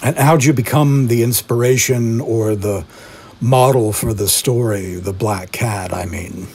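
A man playing a polite, slightly flustered interviewer, speaking courteously and haltingly in a comic phone interview. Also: medium pitch, darkish tone, slightly rough. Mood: tentative, curious.

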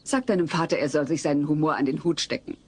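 A woman playing an irritable but caring pregnant wife and mother, expressive and exasperated, in a German radio play. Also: low voice, fast, husky. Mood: testy.

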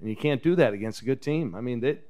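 A man in his fifties, a head coach, talking casually at a post-game press conference into a table microphone, relaxed and chatty. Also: mid-range voice, fast. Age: 50s. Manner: relaxed, chatty, chuckles often. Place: press conference room with backdrop. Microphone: table microphone on a stand.

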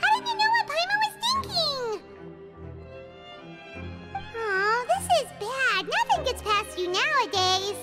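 A woman voicing a small, excitable fairy girl companion in a video game, squeaky, childlike and chirpy, speaking of herself in the third person. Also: slow pace, long pauses, exaggerated voice. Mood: flustered. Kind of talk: animated video game acting. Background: game music.

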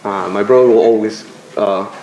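A young man from Venezuela speaks into a podium microphone in a lecture room, giving a commencement speech. He pauses often and jokes at his own expense. The room is roomy and echoey, with a faint hum behind him. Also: fairly low pitch, fairly even intonation, a little mellow, muffled recording. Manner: halting, self-deprecating, with filler pauses. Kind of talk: graduation speech. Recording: roomy lecture hall echo.

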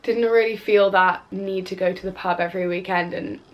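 A woman's voice: medium pitch, steady tone, moderate pace. A woman in her twenties answering viewer questions to camera in a casual, rambling way, sounding stuffy from a cold. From Wales. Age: 20s. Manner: chatty, rambling with fillers and restarts. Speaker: cook and influencer. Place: bedroom at home, indoors. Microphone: camera on a tripod in front of her.

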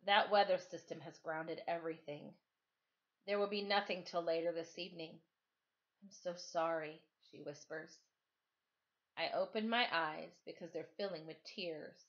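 A woman's voice: fairly low pitch, fairly even intonation, normal speed, fairly bright tone. Middle-aged woman teacher, dull lo-fi sound. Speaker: teacher reading aloud to pupils. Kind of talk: storytime reading of a novel, then informal address to pupils. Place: living room at home, on a couch.

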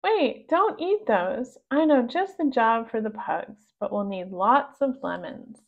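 A woman's voice: fairly high pitch, lively intonation, slow pace, creaky, phone-quality sound, full character voice. A woman voicing a bold young storybook heroine, bossy and emphatic. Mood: commanding.

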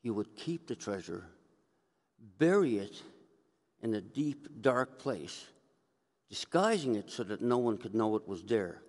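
Older man, priest, homily, conversational storytelling, expressive swinging pitch, lectern mic, reverberant church. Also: a little slow, long pauses. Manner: conversational storytelling, gesturing as he speaks. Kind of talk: sermon. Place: church sanctuary, indoors. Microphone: gooseneck lectern mic.

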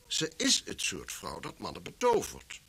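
An actor in a Dutch radio drama playing an excitable, chatty detective who repeats himself as he theorises. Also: fairly low pitch, unhurried, creaky, breathy edge, full character voice. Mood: speculative, animated. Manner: hesitant repetitions, conversational.

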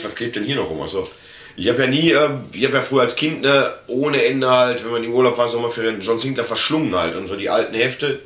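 A man's voice: medium pitch, fairly bright tone. A middle-aged man from Germany chatting casually and slangily as he hosts an unboxing video, rambling informally, picked up by a camera mic in a small room. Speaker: video host. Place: small indoor room full of collectibles. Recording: roomy echo, slight background noise.

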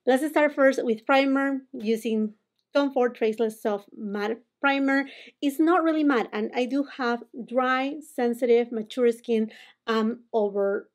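A woman in her forties from Peru with a Peruvian Spanish accent, chatting through a makeup tutorial at home, animated as she applies products. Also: on the high side, a little slow. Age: 40s. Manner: animated, gestures a lot while talking. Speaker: beauty vlogger. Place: home room with holiday decor.

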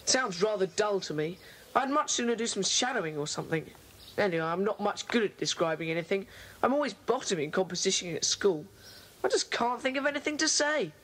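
A woman's voice: medium pitch, expressive, brisk, a bit bright. A woman playing a reluctant, grumbling schoolboy in a children's audio drama. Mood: doubtful, grumbling.

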